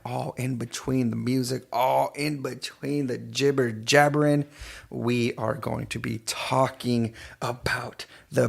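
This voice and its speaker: A man from East Los Angeles hosting a radio show in a laid-back, halting way. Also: mid-range voice, vocal fry. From East Los Angeles, United States. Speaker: radio DJ and host.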